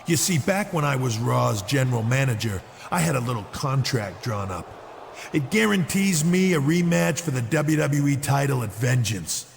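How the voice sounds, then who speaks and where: A man's voice: mid-range voice, animated, normal speed, creaky, slight background noise. A man playing an arrogant, threatening wrestler in a video game cutscene, talking with smug menace. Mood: smug threat. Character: arrogant, threatening male wrestler and former manager.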